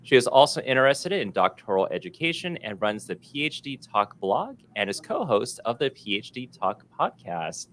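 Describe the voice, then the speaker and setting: A man's voice: mid-range voice, big pitch swings, moderate pace, few pauses, fairly bright tone, a little hiss or hum. A man hosting an interview podcast, upbeat, with frequent fillers like "you know" and "um". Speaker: podcast host.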